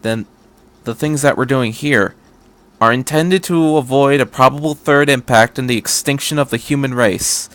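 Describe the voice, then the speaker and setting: A man's voice: mid-range voice, normal speed, a bit bright, lightly acted. A man voicing a detached female scientist in a story, with a clinical, practiced manner.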